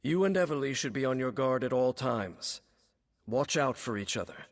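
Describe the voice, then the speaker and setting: An actor voicing a sober, dutiful commander giving a measured, formal, serious quest briefing in a video game. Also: male voice, mid-range voice, expressive, lightly acted. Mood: grave, concerned. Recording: game dialogue over background music.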